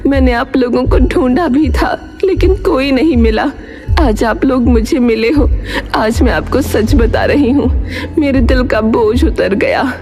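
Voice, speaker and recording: A woman's voice: sits high, measured pace, mellow. A woman voicing an elderly, guilt-ridden nurse in an earnest, confessional story drama, with music behind. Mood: remorseful.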